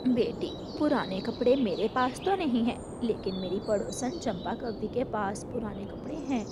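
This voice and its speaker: A woman voicing a kindly, caring old mother bird in a cartoon, with a calm motherly manner. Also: fairly high pitch, moderate pace, noisy background, close to an everyday voice.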